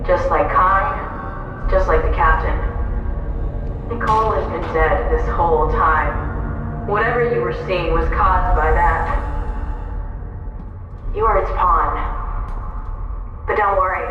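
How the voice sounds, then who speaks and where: Casting: a smug, condescending betrayer for a sci-fi game, lower female register, heard distant and muffled. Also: lively intonation, takes it slowly, long pauses. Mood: cold gloating. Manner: mocking, condescending. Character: cold, smug female traitor taunting a madman. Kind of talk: dramatic video game cutscene acting. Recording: echoey hall, distant muffled voice, music.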